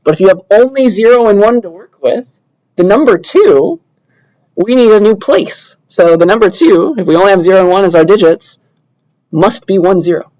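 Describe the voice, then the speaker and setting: A man's voice: high voice, normal speed, mellow, no room sound, muffled recording. A man lecturing informally to a computer science class in a conversational way, often checking in with short tag questions. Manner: casual, conversational, checks in with tags like "all right" and "yeah".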